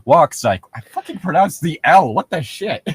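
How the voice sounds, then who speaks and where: A man's voice: on the high side, lively intonation, moderate pace, breathy edge, no room sound, clean studio sound. A man co-hosting casual comedic commentary on internet horror stories, joking and swearing as he goes. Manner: joking, swearing, breaking into laughter. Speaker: co-host.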